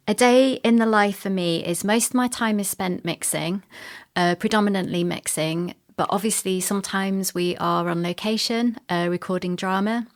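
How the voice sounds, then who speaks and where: Lower-pitched woman in conversation. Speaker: guest, sound designer and drama producer. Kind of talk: podcast interview talk about work.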